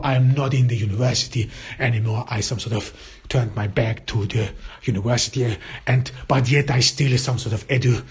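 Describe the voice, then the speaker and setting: Very husky man. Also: mid-range voice. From Austria. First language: German. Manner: searching for words in a second language. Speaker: podcast host. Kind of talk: casual solo podcast monologue.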